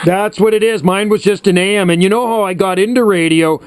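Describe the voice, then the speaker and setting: A man's voice: high voice, fairly even intonation, brisk, noisy background. A man of about sixty chatting casually and at length as a hobby radio operator, in a reminiscing way. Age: around 60. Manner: chatty, reminiscing, uses radio sign-offs.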